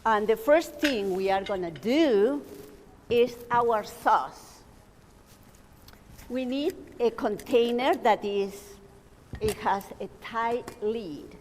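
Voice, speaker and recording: A woman with a Peruvian Spanish accent, a native Spanish speaker, giving a live cooking demonstration, pausing often with fillers as she reads from her notes. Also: fairly high pitch, darkish tone, a little hiss or hum. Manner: hesitant, with frequent fillers, reading from notes. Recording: long reverb in a hall.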